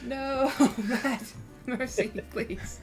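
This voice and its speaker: A woman's voice: high voice, steady tone, extremely slow, faint music behind. A woman bantering casually as a podcast co-host.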